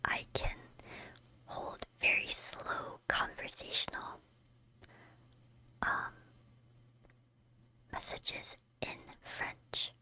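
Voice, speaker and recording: Female streamer, first language Korean, slow breathy whisper with a lot of ums, very high and sharp-toned. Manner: soft, unvoiced whispering; hesitant, with frequent ums and soft laughs. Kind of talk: whispered ASMR chat with chat readers.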